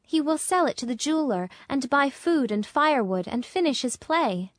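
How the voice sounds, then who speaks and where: A woman playing a noble, sorrowful statue prince in a storybook, speaking gently, pleadingly and in measured phrases. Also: high voice, expressive, dry recording, clean studio sound. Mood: sorrowful, earnest. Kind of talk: acted storybook dialogue.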